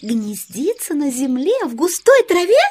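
A woman's voice: high-pitched, sing-song, a little slow, background noise. A woman voicing a small, eager young bird in a children's audio play, exclaiming and pleading. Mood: pitying.

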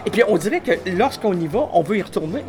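A man's voice: high-pitched, sing-song, fast, darkish tone, some chatter behind. A man in his fifties with a Quebec French accent, hosting a radio interview and asking eager questions that stumble over repeated words. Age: 50s. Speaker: radio host.

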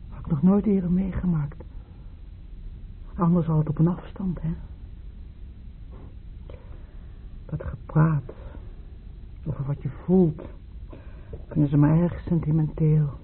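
An actress playing a troubled patient in a radio play, confiding haltingly and searching for words. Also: female voice, low-pitched. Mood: wistful, confiding. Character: troubled female patient confiding haltingly about her parents. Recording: muffled phone-like radio play, noisy.

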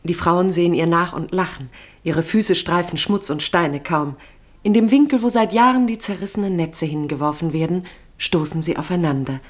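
Low-voiced female radio-play narrator. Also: moderate pace.

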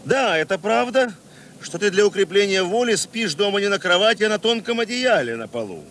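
An actor playing a stern, weary police chief of about forty in a radio play, speaking curtly with dry irony. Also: male voice, high-pitched, animated, brisk, a bit bright. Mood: sardonic scolding. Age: 40s. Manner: curt, dry, sardonic, commanding. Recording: faint vehicle engine noise behind.

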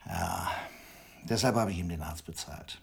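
A man's voice: low-pitched, wildly swooping pitch, normal speed, gravelly, exaggerated voice. A man acting in a German radio crime play as a jovial, blustering factory owner of about fifty, colloquial and prone to chuckling. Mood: subdued, confiding. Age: middle-aged.

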